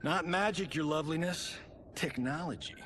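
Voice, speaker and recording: An actor playing a smug, flirtatious show-off in a video game, with a cocky drawl. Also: male voice, on the high side, normal speed, a bit bright, slight background noise, lightly acted. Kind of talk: video game cutscene acting.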